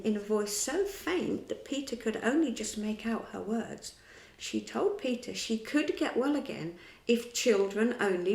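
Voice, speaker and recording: A woman storyteller reading a children's classic aloud, dramatic and dropping to whispers in the tense parts. Her voice has a creaky, gravelly texture. The room is echoey. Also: a little high.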